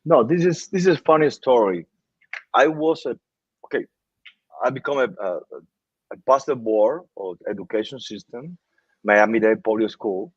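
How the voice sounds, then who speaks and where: A man from Cuba with a Cuban Spanish accent, a native Spanish speaker, speaking as an interview guest in earnest, halting English and often repeating himself. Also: mid-range voice, animated, husky, dry recording. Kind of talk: casual interview talk. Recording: dull, dry sound.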